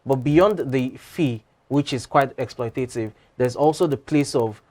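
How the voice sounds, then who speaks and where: A man, a guest on a morning talk show, giving measured commentary full of "you know" and "um". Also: mid-range voice, darkish tone.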